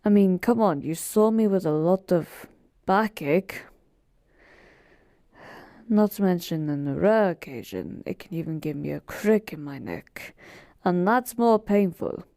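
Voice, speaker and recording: Woman playing a slow, mellow, weary genie. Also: lowish voice, expressive, dry recording, studio quality. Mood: gently rueful. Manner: polite, measured, wistful, gently chiding. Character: weary, polite genie, a murdered soul bound to serve. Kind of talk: slow, intimate roleplay monologue to a listener.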